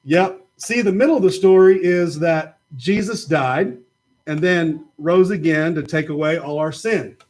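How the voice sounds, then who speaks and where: Man, high voice, patient children's Bible storyteller opposite a puppet. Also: measured pace. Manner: patient, gently explaining. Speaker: puppet show presenter. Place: church.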